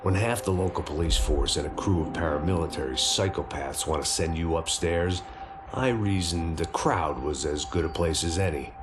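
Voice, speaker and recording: An actor voicing a hard-bitten, world-weary ex-cop delivering cynical noir narration in a game. His voice is very deep and gravelly, with loud music playing beneath it. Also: moderate pace, natural voice.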